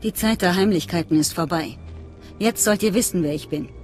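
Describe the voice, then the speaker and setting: An actress plays a secretive female leader of a dark cult in a video game. Her voice sits fairly low, measured and earnest, with a fanatical, conspiratorial edge. Music plays softly underneath. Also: lightly acted. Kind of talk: dramatic game voice acting.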